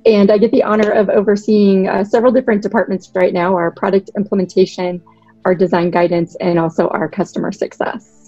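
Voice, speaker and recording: A woman from Boston in her thirties, a podcast guest giving articulate, measured explanations of her work. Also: medium pitch, level tone, mellow, dry recording, highs cut off, faint music behind. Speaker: guest, product director. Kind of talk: podcast interview.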